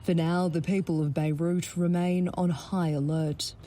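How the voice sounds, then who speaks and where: A woman's voice: lowish voice, little pitch movement, a little slow, dark tone. A woman with an Australian accent reading a scripted news report.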